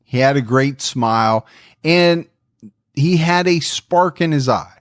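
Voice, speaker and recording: A man hosting a true crime podcast, telling the story in a relaxed, friendly way and chatting with his co-host. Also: on the high side, slow, no room sound, clean studio sound.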